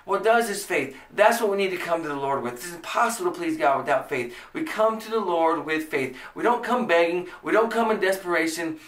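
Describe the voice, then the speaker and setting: A man's voice: sits high, bright, forceful tone, echoey room, distant mic. A man in his thirties with an American accent, preaching a podcast sermon with earnest emphasis. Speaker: pastor. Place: small indoor room before a church banner.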